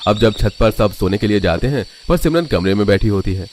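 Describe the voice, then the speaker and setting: A man's voice: fairly low pitch, animated, brisk, a little mellow, slight background noise. A man reading scripted narration for a cartoon family story as the storyteller.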